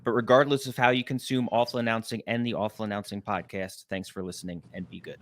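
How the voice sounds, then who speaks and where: A man's voice: on the low side, little pitch movement, quick pace. A man hosting a podcast, speaking in a casual interview style as he talks with a guest.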